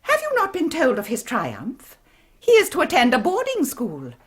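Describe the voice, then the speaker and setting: An English actress playing a strict, pompous Victorian governess in a radio drama, commanding and formal. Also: female voice, high voice, huge pitch swings, normal speed. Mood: stern, scolding. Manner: commanding, crisply formal, theatrical scolding. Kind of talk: 1990s radio drama acting.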